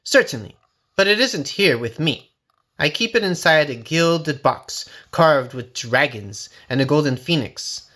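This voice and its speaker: A man's voice: on the high side, animated, unhurried, bright, forceful tone, heavily acted. A man playing a small, wary and shrewd farmer in a storybook, explaining things in a polite, deferential way. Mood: polite, confident. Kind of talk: storybook character voice.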